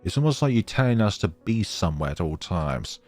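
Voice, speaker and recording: A man reading a visual novel aloud in a theatrical, expressive way and adding his own playthrough commentary. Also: deep voice, fairly quick, faint music behind.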